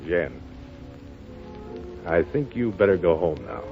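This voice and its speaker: An actor playing a tough but courteous poker-faced gambler, talking in casual clipped lines in a 1930s radio drama. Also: male voice, sits low, sing-song, takes it slowly, music in the background, full character voice. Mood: tender, restrained. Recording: dull old 1930s radio recording.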